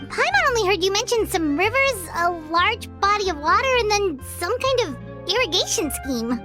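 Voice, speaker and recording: A woman's voice: very high voice, sing-song, normal speed, over loud music. A woman voicing a tiny, childlike fairy companion in a video game, chatty and naive. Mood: puzzled. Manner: childlike, speaks of herself in third person. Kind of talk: scripted video game voice acting.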